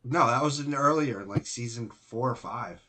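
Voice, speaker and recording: A man's voice: mid-range voice, normal speed, sharp tone. Man joking with friends. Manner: joking, retelling a sitcom bit. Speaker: podcast co-host. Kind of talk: casual chat among friends.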